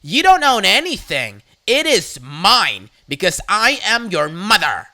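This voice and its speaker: A narrator doing a domineering entitled mom, scolding in a raised, bright, sharp voice that swoops up and down. she barks orders and won't hear a word back. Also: medium pitch, big pitch swings, unhurried, pitched above the performer's own voice, full character voice. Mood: angry. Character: loud bossy entitled middle-aged mother.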